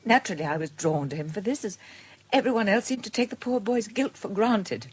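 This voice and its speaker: Husky, earnest British woman. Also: mid-range voice, expressive, fairly quick, darkish tone. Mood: wistful, regretful. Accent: British English. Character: gentle, independent middle-aged woman with a wretched marriage. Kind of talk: period radio drama acting.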